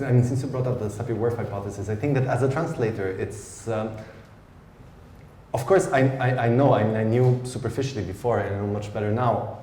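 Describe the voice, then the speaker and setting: A man with an Italian accent asks his questions slowly, restarting often as he thinks them through. His voice is low and mellow. The sound is roomy, from a hall with faint ambience behind. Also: slight background noise. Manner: hesitant, many restarts, reflective. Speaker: writer and translator, interviewer. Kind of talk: conversational public Q&A. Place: lecture hall.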